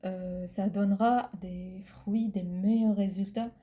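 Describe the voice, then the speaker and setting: Slow, hesitant woman, Arabic native. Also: mid-range voice, fairly even intonation, dark tone. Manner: hesitant, searching for words, many fillers. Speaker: podcast co-host. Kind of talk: casual chat.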